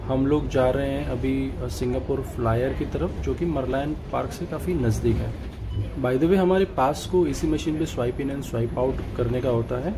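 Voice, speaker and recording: A man narrating his travel vlog in a calm tour-guide manner, mixing in English phrases. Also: medium pitch, moderate pace, background noise. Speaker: travel vlogger. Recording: voice over loud music.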